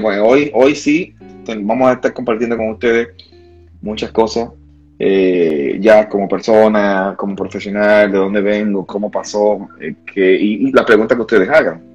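A man's voice: medium pitch, darkish tone, husky, dull audio. A Dominican man from Santiago, a guest in a casual livestream chat, talking with many fillers and restarts. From Dominican Republic.

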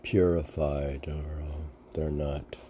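A man reading poetry aloud with halting, musing, informal commentary, often answering his own questions. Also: a bass voice, level tone, dark tone, a little hiss or hum. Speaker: reader and commentator of mystic poetry.

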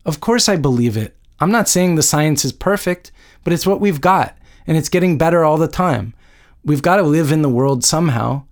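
A man's voice: a little high, lively intonation, moderate pace, pitched above the performer's own voice. A man voicing a doting, persuasive older mother. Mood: earnest. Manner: earnest, pleading, placating.

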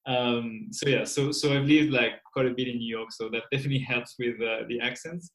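A man's voice: mid-range voice, fairly even intonation, fairly quick, fairly bright tone. French-accented young man in an echoey room. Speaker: podcast guest. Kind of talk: casual podcast interview.

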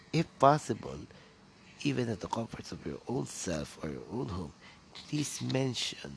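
Filipino guy with a deep rough voice, rambly podcast talk, noise behind him. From Philippines. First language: Tagalog. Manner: rambling, with frequent fillers and restarts. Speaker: podcast host.

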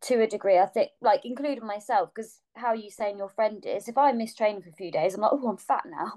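A woman in her mid-thirties speaks at an ordinary mid pitch. She is open and talkative, sharing personal habits frankly and breaking into laughs. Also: a little fast, warm tone. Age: 30s. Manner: candid, chatty, laughs often. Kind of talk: casual chat.

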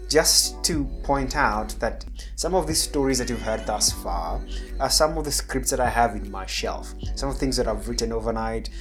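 Male radio drama narrator and podcast host, medium pitch, music bed.

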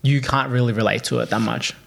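A man's voice: mid-range voice, steady tone, fast talker, gravelly, fairly bright tone, breathy edge. A man hosting a podcast, chatting casually and backing up his guest with constant short agreement. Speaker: podcast host. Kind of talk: casual chat.

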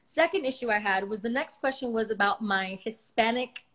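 A woman from Miami telling a story in casual chat, animated and exasperated, swearing freely. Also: a little high, normal speed. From Miami, United States.

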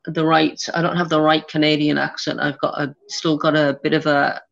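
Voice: medium pitch, flat delivery, moderate pace, gravelly, fairly bright tone. Podcast host, english-irish accent, long questions, kinda muffled lo-fi sound. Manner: asks long, searching questions. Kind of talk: interview questions.

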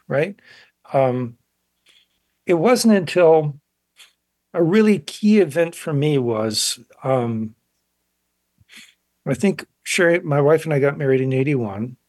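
A man in his sixties talking reflectively in an interview, thoughtful and halting as he searches for words. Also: a little high, a little mellow, dry recording, clean studio sound. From grew up in South Korea as child of missionaries. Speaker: guest, painter.

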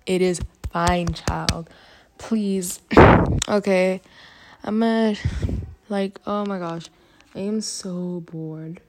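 A woman's voice: medium pitch, gravelly, a little mellow. A girl of about twelve from Uganda with an American-sounding accent, chatting casually and giggling through a rambling vlog from her school hostel. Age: about 12 to 13, grade seven. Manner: chatty, giggly, goes off on tangents. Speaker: vlogger. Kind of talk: casual rambling chat to camera.

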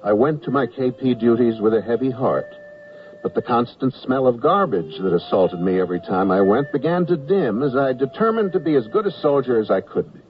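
A man's voice: medium pitch, lively intonation, a little fast, lightly acted. A man with an American accent playing an earnest army private who wryly narrates his wartime memoir in a radio comedy. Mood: wry, proud. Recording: muffled 1979 radio broadcast, music behind.

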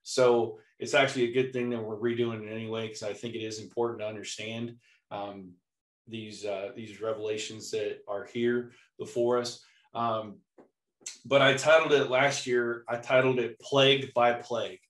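Hoarse man teaching in a roomy echo. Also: mid-range voice, moderate pace. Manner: relaxed, chatty, with light laughs. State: hoarse, clearing his throat. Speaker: Bible teacher. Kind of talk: informal sermon teaching.

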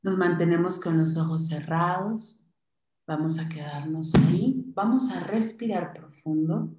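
A woman leading a guided meditation in a soothing manner, giving short instructions with pauses between them. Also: on the low side, mellow, roomy sound. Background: music.

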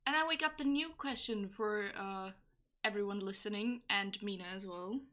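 A woman's voice: mid-range voice, moderate pace, a bit bright. A woman co-hosting a podcast, chatting casually and reading listener answers aloud. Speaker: podcast co-host. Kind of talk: casual chat.

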